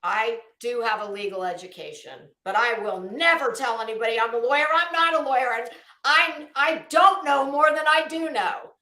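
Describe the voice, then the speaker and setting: A woman's voice: on the high side, moderate pace, few pauses, bright, forceful tone, echoey room. A woman hosting casual, critical commentary, blunt, emphatic and scornful in the way she talks. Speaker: YouTube commentary show host.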